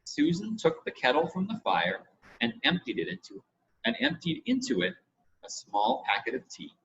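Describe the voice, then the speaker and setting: Expressive male storyteller, lively pitch. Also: normal speed, breathy edge. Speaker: audiobook reader. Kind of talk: scripted storybook narration. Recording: dull audio with faint noise.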